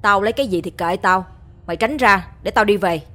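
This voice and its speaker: A woman voicing a rough, threatening grown son. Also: mid-range voice, brisk, fairly bright tone, soft background music, close to an everyday voice.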